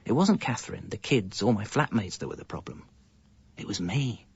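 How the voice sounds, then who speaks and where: A harried English family man narrating his own radio comedy. His voice is low and wry, tripping over itself when flustered. The sound is slightly dull. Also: expressive, normal speed, slightly rough, no echo, heavily acted. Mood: wry, rueful. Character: harried, exasperated husband and father telling his story. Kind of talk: radio comedy first-person narration and dialogue.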